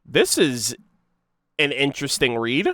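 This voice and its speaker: A man's voice: mid-range voice, lively intonation, unhurried, pushed and bright, no echo. An American man giving casual, informal reaction commentary as he thinks aloud about game lore and reads bits of it out. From United States. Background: music.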